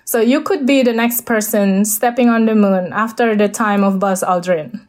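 A woman's voice: mid-range voice, moderate pace, a little mellow. A woman with an Indonesian accent, a guest speaking over a video call, talking hesitantly with many fillers and restarts. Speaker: guest, space architect.

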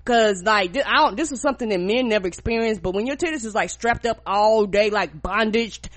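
A woman's voice: medium pitch, fairly quick. Congested, profane woman co-host. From rural United States. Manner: profane, animated storytelling. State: congested from a cold. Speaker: podcast co-host. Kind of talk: casual podcast chat.

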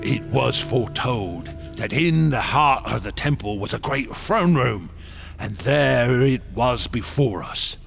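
An obsessed explorer in a radio horror drama. His voice swoops widely and crackles with a gravelly edge, boastful and excitable, moving from hushed awe to feverish eagerness over prominent dramatic music. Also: medium pitch, full character voice. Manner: theatrical, intense, swinging from hushed awe to eager bursts.

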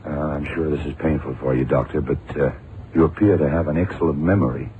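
A very deep-voiced, commanding investigator, terse and probing. He speaks in the measured style of vintage radio drama. The recording is narrow, muffled and clearly noisy. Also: steady tone. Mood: probing, polite. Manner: terse, probing, methodical questioning. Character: seasoned, shrewd male FBI investigator, commanding older colleague. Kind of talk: 1960s-70s radio drama acting.

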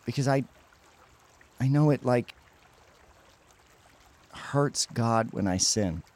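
Guy doing a tormented religious teen boy, slow n halting, keeps stammering, emotional audio drama. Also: medium pitch, darkish tone, natural voice. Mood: ashamed, despairing. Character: guilt-ridden devout teenage boy, anguished, self-loathing.

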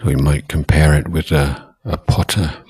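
A man teaching guided meditation as a monk, speaking in short, deliberate phrases with long pauses between them. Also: a bass voice, steady tone, a little mellow, breathy edge.

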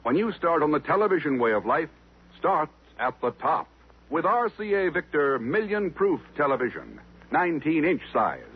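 A man's voice: fairly high pitch, big pitch swings, moderate pace, husky. A male announcer delivering scripted 1950s radio copy in a polished, emphatic way. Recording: tinny 1950s radio recording, music behind.

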